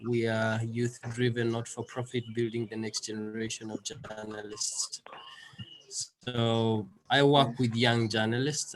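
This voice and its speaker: A man from Uganda who runs a youth media nonprofit, courteous and brisk. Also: mid-range voice, a little hiss or hum, rough recording.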